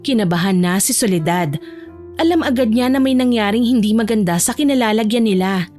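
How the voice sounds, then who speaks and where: High-voiced Filipino woman narrating over music. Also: brisk, dark tone. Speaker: storyteller. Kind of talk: scripted dramatic audiobook narration.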